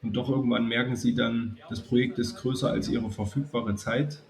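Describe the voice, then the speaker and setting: A man with a cold, addressing his viewers in a calm, chatty way as he narrates a hobby video. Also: medium pitch, moderate pace, gravelly. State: has a cold. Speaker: model railway YouTuber. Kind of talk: casual spoken video narration.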